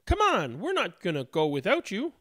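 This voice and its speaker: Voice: medium pitch, wildly swooping pitch, moderate pace, a bit bright. A storybook reader voicing eager, helpful cartoon alphabet letters like childlike schoolmates, with exclaiming, singsong delivery. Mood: encouraging.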